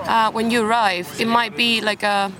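A woman's voice: a little high, little pitch movement, normal speed, crowd noise. Young spanish-born woman w british accent, chatty n laughy, city park noise behind. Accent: British English. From Spain. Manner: chatty, laughs easily, self-deprecating. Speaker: interview guest. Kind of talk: casual chat. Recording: outdoor street noise.

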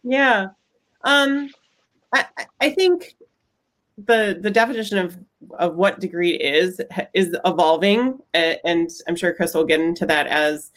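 A woman's voice: fairly low pitch, unhurried. A woman from Michigan, a guest in a casual video chat, friendly and slightly hesitant. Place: video call.

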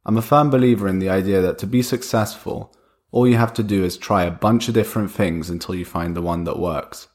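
A young man hosting his own podcast, talking through ideas in a relaxed, conversational way while he has a cold. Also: low voice, a little fast. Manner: conversational, thinking aloud with fillers and restarts. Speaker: podcast host. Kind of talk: casual solo podcast monologue.

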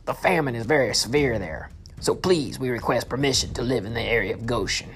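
A man's voice: mid-range voice, lively intonation, fairly bright tone, soft background music. A man voicing humble shepherd brothers speaking deferentially to a king. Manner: slow and deferential.

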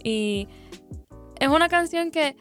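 A woman's voice: sits high, animated, takes it slowly. A woman co-hosting a casual chat about music, explaining her views at length. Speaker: podcast co-host.

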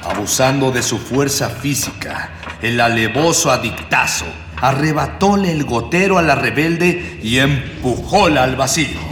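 A man's voice: medium pitch, animated, measured pace, noisy background. A man narrating a scripted radio drama in a florid, mock-epic storytelling manner. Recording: echoey long reverb with loud music.

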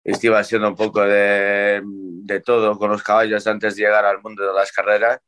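A man's voice: lowish voice, monotone, moderate pace, fairly bright tone, clean tone. Male guest, casual conversational delivery. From Portugal. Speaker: horse trainer guest. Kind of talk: casual chat.

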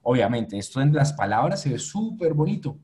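Mellow-voiced man guest, reflective. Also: medium pitch, big pitch swings, a little fast. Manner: reflective, searches for words. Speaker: agency owner, guest. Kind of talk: casual interview answers.

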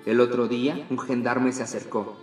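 Lovesick woman writing a letter read by a man, tender, quiet, wistful, middle voice. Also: mid-range voice, steady tone, normal speed, reverberant, soft background music, close to an everyday voice. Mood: tender longing. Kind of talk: reading a letter aloud.

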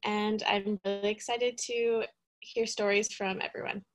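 A woman's voice: mid-range voice, little pitch movement, a little slow. A young woman from Calgary who works in student recruitment and introduces herself earnestly, with many "um" fillers. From Calgary, Canada. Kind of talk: casual self-introduction.

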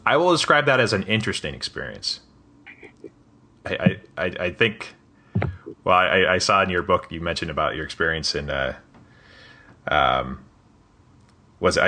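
A man's voice: a bass voice, lively intonation, a little slow, pauses often, sharp tone. A man hosting a podcast interview in a casual, hesitant way, often restarting his sentences with small laughs. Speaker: podcast host.

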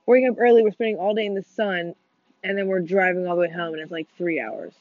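A teenage girl co-hosting a casual gaming podcast with friends, talking fast, swearing and running herself down, with excited outbursts. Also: on the low side, no echo. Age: teens. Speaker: podcast co-host. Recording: muffled dull sound, faint music behind.

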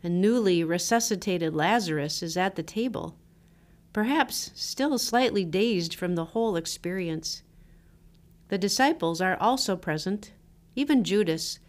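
A woman pastor reads scripture and a reflection in a scripted devotional style, with measured, deliberate phrasing. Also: medium pitch, animated.

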